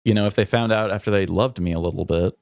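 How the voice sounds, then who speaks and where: A man's voice: on the low side, fast, gravelly, a little mellow, no echo, studio quality. A man co-hosting a podcast, talking casually in an earnest, reflective, confessional way. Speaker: podcast co-host.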